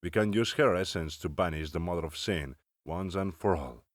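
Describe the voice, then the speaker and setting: Man as a deep, stern old slayer. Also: no room sound, studio quality, natural voice. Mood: grave, insistent. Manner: grave, formal, deliberate. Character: stern older Spanish male vampire-slayer elder, solemn and ruthless. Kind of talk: cinematic audio roleplay acting.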